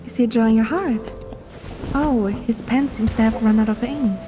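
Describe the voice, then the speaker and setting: A woman voicing a curious, polite, slightly flustered young woman in a romance story, expressive and a little hesitant. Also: a little high, normal speed, noisy background, natural voice. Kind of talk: scripted romance story dialogue. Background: clear background music.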